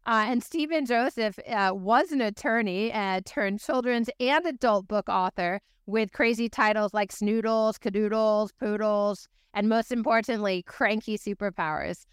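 A woman's voice: mid-range voice, unhurried, few pauses, clean tone, dry recording, clean studio sound. An American woman hosting an interview show in an upbeat, conversational way. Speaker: host.

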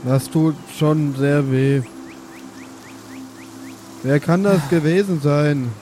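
A man's voice: fairly high pitch, slow, warm tone, soft background music. A man playing a laid-back dwarf son in an improvised audio roleplay, drawling and teasing. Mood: pained.

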